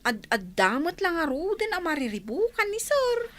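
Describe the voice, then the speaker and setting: A woman's voice: extremely high pitch, sing-song, normal speed, fairly bright tone, a little hiss or hum, heavily acted. A woman with an Ilocano accent playing an inquisitive, outspoken household confidante in a radio drama, pressing with questions. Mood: probing, exclaiming.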